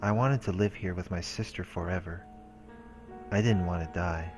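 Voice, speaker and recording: A man with a low voice reads a visual novel's text aloud and comments casually as a let's play host. Game music is clearly audible behind him. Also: moderate pace, darkish tone.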